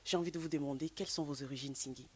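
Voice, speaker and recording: A woman interviewing a singer, asking measured questions. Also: low-pitched, fairly even intonation, darkish tone, breathy edge.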